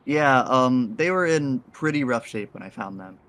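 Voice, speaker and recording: An actor playing a kindly, soft-hearted faceless figure in an audio drama, with an easygoing and reassuring way of talking. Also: mid-range voice, animated, normal speed, lightly acted.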